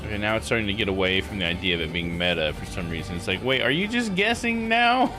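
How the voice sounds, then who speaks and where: Man, game streamer, lowish voice, casual live commentary, puzzling aloud, game music clearly behind him. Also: sing-song, fast talker. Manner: thinks aloud, exclaims in disbelief.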